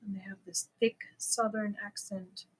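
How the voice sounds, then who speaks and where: A woman's voice: medium pitch, flat delivery, moderate pace, slightly rough, poor audio. A woman from Germany, chatting casually and a little nervously as she hosts a live stream, prone to giggling. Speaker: crochet live stream host.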